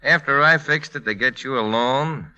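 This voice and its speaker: A man's voice: mid-range voice, moderate pace, bright, forceful tone, dull audio. An actor playing a pushy, slangy, flirtatious newspaperman in a vintage radio comedy. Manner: brash, slangy, smooth-talking. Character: pushy, flirtatious wisecracking newspaperman, slick wolf. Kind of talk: 1940s radio comedy acting. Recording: vintage radio broadcast recording.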